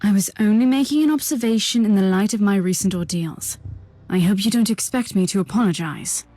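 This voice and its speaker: An actress voices a sardonic, defiant woman outcast in a game drama. The voice is dark and husky, recorded very dry, with a guarded edge and faint music behind. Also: mid-range voice, fairly quick, no room sound, natural voice. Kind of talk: dramatic game cutscene acting.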